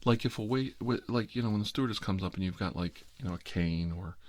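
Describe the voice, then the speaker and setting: A man's voice: lowish voice, quick pace, a little mellow. A middle-aged American man telling a rambling story in ASMR style, with a wry, sarcastic, exasperated manner.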